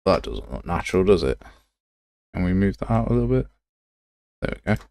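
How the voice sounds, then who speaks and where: A man's voice: deep voice, very animated, brisk, creaky, a little mellow, dry recording. A man giving a casual live tutorial, talking to himself as he works and sounding self-deprecating. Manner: muttering to himself while working. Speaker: game development livestreamer.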